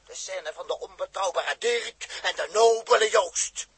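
A bitter, defiant spaceman, high and tense in pitch with a harsh rasp. He spits his words out with theatrical scorn, close and dry, as in a 1960s radio play. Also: unhurried, a bit bright, dry recording, heavily acted. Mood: bitter, scornful. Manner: biting, scornful, theatrical delivery. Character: bitter, defiant, hot-headed male space crewman. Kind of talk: 1960s radio drama acting.

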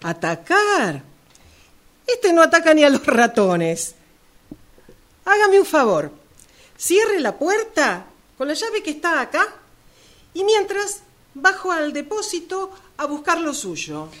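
A woman's voice: sits high, sing-song, takes it slowly, pauses often, fairly bright tone, full character voice. A woman playing a discreet, bossy shopkeeper in an amateur radio play, with a formal, theatrical manner. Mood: brisk, dismissive.

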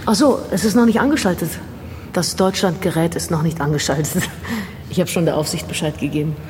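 An actress playing a polite, puzzled TV interviewer in a radio drama, hesitant and questioning, heard in a large reverberant room. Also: female voice, fairly low pitch, brisk, lightly acted. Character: polite, puzzled female TV interviewer managing a shoot. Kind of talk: naturalistic German radio drama acting. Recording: echoey hall with clear background noise.

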